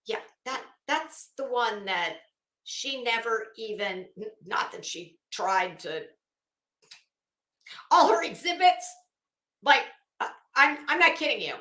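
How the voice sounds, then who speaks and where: A woman with a high voice, recorded in an echoey room. She delivers a dramatic reading as online commentary, mixing formal text with mocking asides. Her manner is blunt, emphatic and openly sarcastic. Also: expressive, takes it slowly, pauses often, pushed and bright. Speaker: online commentator reading a legal motion aloud.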